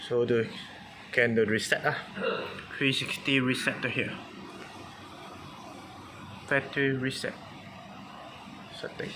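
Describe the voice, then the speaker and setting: Slow, terse Malaysian man, noisy recording. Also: mid-range voice, steady tone. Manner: clipped fragments, terse step-by-step. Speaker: car audio installer demonstrating a product. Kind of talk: casual hands-on product demonstration.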